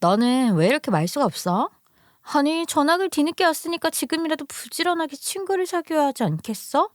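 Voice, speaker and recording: Woman playing a chatty, teasing teen schoolgirl, high and quick. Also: expressive, no room sound, clean studio sound. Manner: mocking, quick and nosy.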